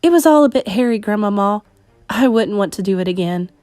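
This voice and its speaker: A woman voicing a young boy who has been turned into a mouse, talking excitedly and loudly. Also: medium pitch, fairly quick, no room sound, lightly acted. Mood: eager.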